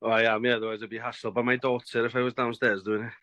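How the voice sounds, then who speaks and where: A man's voice: mid-range voice, level tone, very fast, sharp tone, dry recording, highs cut off. A man from North Wales whose first language is Welsh, with a strong North Welsh accent, speaking briefly on a video call from his bedroom. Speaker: band member. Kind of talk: casual video call chat.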